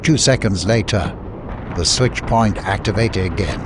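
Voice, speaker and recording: A man's voice: lowish voice, measured pace, background noise. A man giving a dramatic scripted narration of an adventure story as an audiobook narrator.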